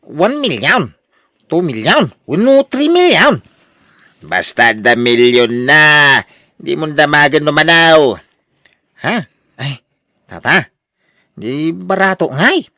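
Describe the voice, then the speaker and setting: A male actor plays a boastful older husband in a Filipino radio drama, with an Ilocano accent. The pitch swings widely and the tone is bright and forceful. He is expansive and full of exclamations, recorded close and dry. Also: big pitch swings, takes it slowly, pauses often, no room sound, full character voice. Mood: gleeful, showy. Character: boastful, generous older husband, newly rich lottery winner.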